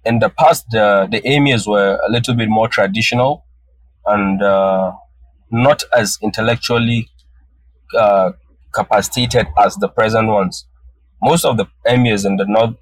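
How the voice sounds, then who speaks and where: Male interview guest, from northern Nigeria, native Hausa speaker, fairly low voice, thoughtful, restarts phrases, dry recording. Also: steady tone, normal speed, no echo, low-quality recording. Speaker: guest photographer. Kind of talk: podcast interview answers.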